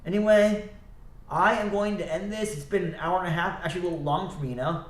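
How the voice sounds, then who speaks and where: Rambling, quick-talking man in an echoey room. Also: sits high, slight background noise. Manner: rambling, halting, many restarts and self-corrections. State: stuffy nose, slightly scratchy throat. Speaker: livestreamer. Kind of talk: rambling solo livestream chat.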